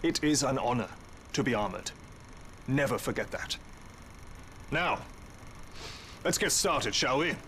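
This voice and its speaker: An actor playing a crisp, authoritative British army major with an eager streak, in a video game recruitment film. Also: male voice, medium pitch, expressive, unhurried, pauses often, sharp tone, slight background noise. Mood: brisk, proud. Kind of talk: military recruitment film narration.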